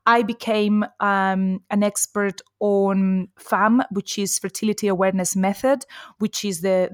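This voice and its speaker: A woman's voice: medium pitch, dry recording, clean studio sound. A woman in her forties from Colombia, a native Spanish speaker with a mixed Colombian and Spanish-tinged accent, chatting as a podcast guest and laughing often. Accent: mixed Colombian and Spanish-influenced English. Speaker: guest, nutritional therapist. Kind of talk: casual podcast conversation.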